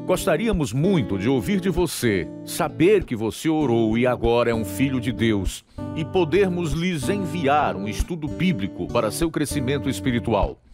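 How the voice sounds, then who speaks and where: A man with a Brazilian accent delivering scripted evangelistic narration as a radio announcer, formal and clearly phrased. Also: mid-range voice, big pitch swings, moderate pace. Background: guitar music.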